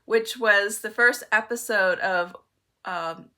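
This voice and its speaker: An older woman with a bright, clear-edged voice at a medium pitch. She talks casually to viewers as a book vlogger. Her manner is chatty and wry, and she readily pokes fun at herself. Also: slow pace.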